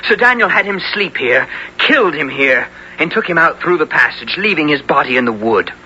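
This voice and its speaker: A man playing a bold young English gentleman hero in a 1970s radio drama, earnest and theatrical, with archaic formal speech. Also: fairly high pitch, lively intonation, fairly quick, a little hiss or hum. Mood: urgent, resolute. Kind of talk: 1970s radio drama acting and first-person narration. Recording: dull 1970s radio broadcast.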